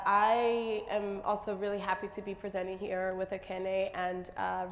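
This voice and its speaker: A woman artist presenting her work on a stage, her voice slightly raspy, talking with many pauses and fillers. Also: mid-range voice, in a large hall. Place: stage in a large hall.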